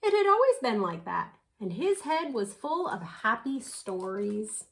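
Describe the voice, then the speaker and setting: Slow, animated woman reading a picture book. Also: a little high, slight background noise. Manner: animated, unhurried, dramatic emphasis. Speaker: children's story reader. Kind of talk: expressive picture-book read-aloud.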